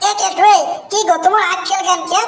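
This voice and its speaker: A squeaky demanding girlfriend. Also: steady tone, fast talker. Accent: Bogura dialect of Bangla. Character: young village girlfriend, demanding.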